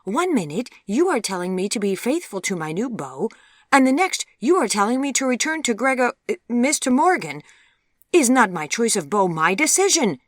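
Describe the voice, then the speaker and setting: A woman's voice: a little high, very animated, normal speed, no echo, studio quality, voice pushed up. Woman acting out a stubborn, haughty young lady. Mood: bewildered, indignant. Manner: formal, haughty. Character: stubborn, defiant young lady arguing with her mother.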